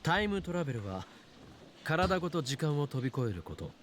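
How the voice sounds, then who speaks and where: A man's voice: on the high side, big pitch swings, racing, a little hiss or hum. A man voicing a self-assured young man in a Japanese visual novel, speaking in a firm, clipped, lecturing way. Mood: explaining. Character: self-assured young man explaining things matter-of-factly.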